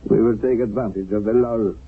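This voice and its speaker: An actor playing a stern, solemn village leader in a radio drama, speaking formally and deliberately. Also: male voice, on the low side, steady tone, husky. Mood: stern, resolute. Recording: tinny, hissy old radio broadcast.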